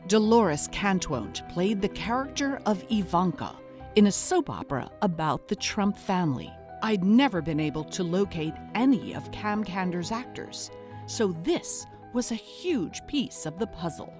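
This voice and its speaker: A woman's voice: medium pitch, lively intonation, measured pace, music in the background, natural voice. A woman playing an earnest investigative podcast host, delivering scripted narration in a mock documentary audio drama.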